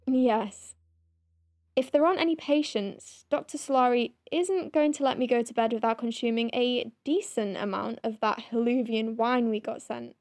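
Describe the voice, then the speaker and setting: A proud senior doctor at a space clinic , played by a woman, speaks in a slightly high voice. She is formal and literal, clipped in her phrasing, with kindness under the stiffness. It is audio drama, recorded close and dry. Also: normal speed, darkish tone, no room sound, natural voice. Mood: tentative, softening.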